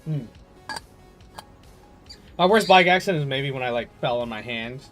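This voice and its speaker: A man livestreaming a game and chatting casually with viewers in a jokey, animated storytelling manner. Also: mid-range voice, moderate pace. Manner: animated storytelling, jokey, swearing, laughs often. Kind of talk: casual chat with viewers while gaming. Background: game music.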